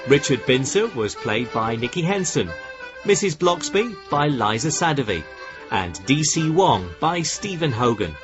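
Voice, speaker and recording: A man reading scripted cast credits and titles as a radio continuity announcer. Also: mid-range voice. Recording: dull sound, music behind. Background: music.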